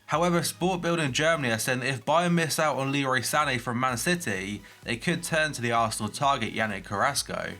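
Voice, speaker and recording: A man's voice: mid-range voice, a little fast, few pauses, sharp tone. A young man with a groggy, hay-fever voice, reading a football transfer news roundup with brief personal asides.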